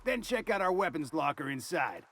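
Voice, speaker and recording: Folksy, gravelly game mercenary; drawling, wry. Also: male voice, fairly high pitch, big pitch swings, moderate pace, fairly bright tone. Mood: businesslike. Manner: drawling, folksy, dry humor.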